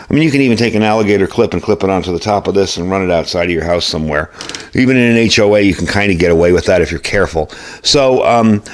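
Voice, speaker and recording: A man with a fairly low voice hosts an off-the-cuff product review. He talks in a conversational, measured way and keeps it plain-spoken. It sounds like an informal hobbyist talking to viewers. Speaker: host of a radio gear review channel. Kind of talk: casual unscripted product review. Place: indoor workshop at a desk.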